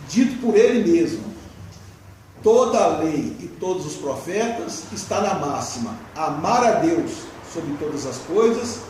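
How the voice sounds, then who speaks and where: A Brazilian man lectures at a spiritist gathering, laying out his points in a measured, explanatory way and asking rhetorical questions. His voice sits high and is picked up from a distance. The hall around him rings with a very strong echo and a faint hum of room noise. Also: a little mellow. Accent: Brazilian Portuguese. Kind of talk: religious lecture. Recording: very echoey hall, distant mic.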